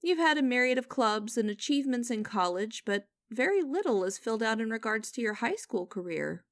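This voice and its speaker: A stern young female boss, acted by a woman in an audio roleplay, with a fairly high voice recorded dry and close. She speaks formally and deliberately, teasing and condescending, with a vengeful edge. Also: animated, no room sound. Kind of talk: scripted ASMR-style audio roleplay.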